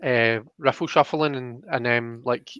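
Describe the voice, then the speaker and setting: A man giving a casual live card reading as host, thinking aloud in a halting way, on slightly dull audio. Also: medium pitch, fairly even intonation, a bit bright, clean tone, dry recording. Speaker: host and tarot card reader.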